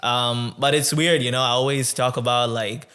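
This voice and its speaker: A man in his twenties from Tanzania, a podcast guest telling his story in a reflective, conversational way, often pausing with um and uh. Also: medium pitch, steady tone, pushed and bright, clear, resonant tone. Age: 20s. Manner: reflective, with many um and uh pauses.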